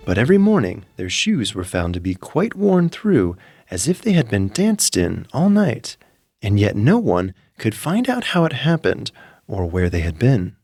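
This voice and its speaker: A man narrating a classic fairy tale from a script in a measured storyteller's manner. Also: lowish voice, huge pitch swings, a little mellow, breathy edge, dry recording, faint music behind.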